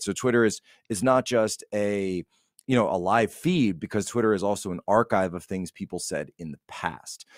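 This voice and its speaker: Male political commentator, lowish voice, conversational and emphatic, leaning on repeated phrases, very little room sound. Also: no room sound, studio quality. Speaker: podcast guest, political commentator.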